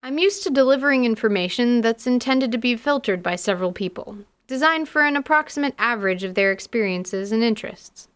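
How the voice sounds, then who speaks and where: A woman's voice: a little high, lively intonation, brisk, few pauses, clean tone. A woman hosting as a dungeon master, narrating a scripted podcast in an articulate, slightly wry way.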